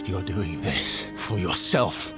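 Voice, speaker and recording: An actor playing a guarded, wry and reluctant companion in an understated video game performance. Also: male voice, sits low, expressive, slow pace. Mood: defiant, bitter. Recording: music behind the voice.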